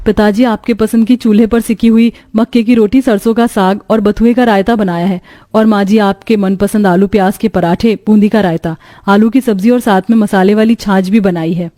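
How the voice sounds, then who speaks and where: A woman's voice: medium pitch, fairly even intonation, normal speed, warm tone, lightly acted. A woman playing a young, devoted and respectful village daughter-in-law in a cartoon story, speaking in a caring, deferential way. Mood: dutiful, welcoming. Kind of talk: cartoon moral story dialogue.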